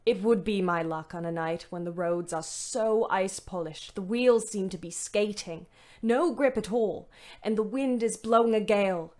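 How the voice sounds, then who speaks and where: An actress playing a weary, bitter young ambulance driver, narrating a Great War audio drama in a wry, dramatic way. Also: female voice, medium pitch, moderate pace, darkish tone, natural voice. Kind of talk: first-person audio drama monologue.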